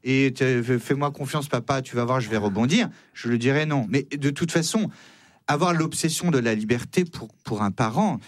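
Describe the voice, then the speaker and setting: A man's voice: mid-range voice, normal speed, dry recording, clean studio sound. A man arguing his point as a radio debate guest, insistent, in short broken phrases. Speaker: studio guest.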